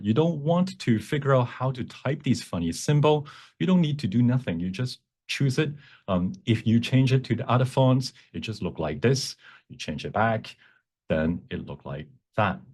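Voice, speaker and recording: Low-voiced man giving an informal workshop. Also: darkish tone. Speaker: workshop presenter, font creator. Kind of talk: informal software demonstration, explaining.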